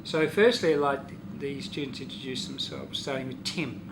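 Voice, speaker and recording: Interviewer over clear background noise. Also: mid-range voice, moderate pace. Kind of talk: simple guided questions to students.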